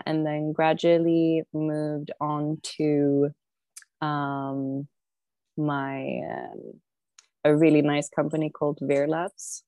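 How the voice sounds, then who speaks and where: Woman guest, low, slow and reflective, on a remote call from home. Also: a little mellow, no room sound. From southern Sweden. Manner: thoughtful, unhurried, often pausing to think. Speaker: podcast guest. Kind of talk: casual conversational interview. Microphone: remote online call.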